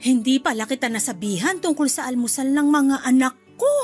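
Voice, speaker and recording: A woman's voice: sits high, expressive, fairly quick, a little mellow, soft background music. An actress playing a chatty, fussy middle-aged mother-in-law in a radio drama. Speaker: husband's mother.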